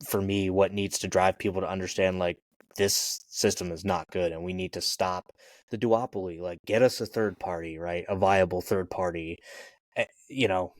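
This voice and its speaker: A man's voice: low-pitched, no room sound. A man co-hosting a podcast, talking casually about politics in a rambling, hedging way. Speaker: podcast co-host.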